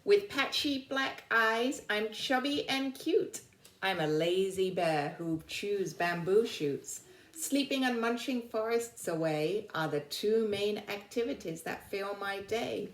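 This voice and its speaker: A woman voicing a cute, chubby, lazy storybook panda in measured rhyme. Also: mid-range voice, expressive. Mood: lazy, plaintive. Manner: measured rhyming recitation. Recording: roomy, echoing indoor sound.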